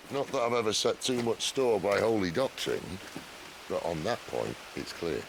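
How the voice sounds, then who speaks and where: An actor playing a wry, world-weary male rebel leader in a video game, speaking in a dry, teasing, unhurried way. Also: on the low side, sing-song, gravelly, noisy background.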